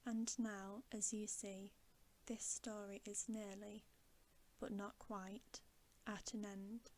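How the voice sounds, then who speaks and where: A woman reading a bedtime story for ASMR in a soft, gentle, breathy voice that often drops to a whisper. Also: mid-range voice, little pitch movement. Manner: hushed, unhurried, gentle. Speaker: ASMR reader.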